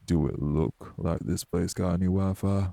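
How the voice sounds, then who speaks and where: Guy doing a gloomy old country wraith, deep n really gravelly, blunt folksy audio rp. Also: steady tone, moderate pace, no echo. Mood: gruff, challenging. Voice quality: very gravelly, husky, dark low voice. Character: morose older male wraith, rural homebody, stern but hospitable. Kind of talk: dramatic audio roleplay acting.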